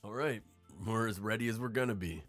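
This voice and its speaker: A man's voice: fairly low pitch, a little fast, a bit bright, faint music behind, close to an everyday voice. A man playing a friendly boyfriend welcoming guests to a party in an audio drama. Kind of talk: naturalistic audio drama acting.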